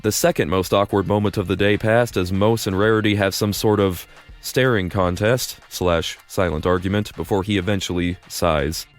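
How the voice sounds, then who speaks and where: A man's voice: low-pitched, fairly even intonation, moderate pace. A man narrating a story in the second person, casual and wry, with dry asides.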